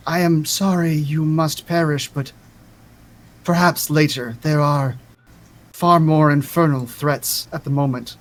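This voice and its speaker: A man voicing a regretful winged celestial warrior in a tabletop game, speaking formally and apologetically in measured phrases. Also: on the high side, fairly even intonation, close to an everyday voice. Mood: solemn, regretful.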